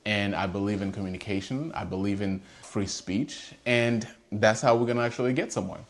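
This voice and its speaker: A man from Haiti, his voice sitting on the lower side, delivers a heartfelt monologue straight to his audience. He is emphatic and earnest, pressing his points with pointed rhetorical questions. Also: fairly quick. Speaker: host. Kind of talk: earnest personal monologue to camera.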